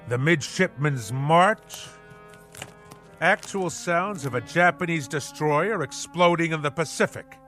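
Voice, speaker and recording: An actor in a radio drama playing a pompous, manic husband obsessed with the navy, declaiming in grandiose nautical bombast. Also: male voice, sits high, sharp tone, exaggerated voice. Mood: gleeful, manic. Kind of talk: theatrical radio drama acting.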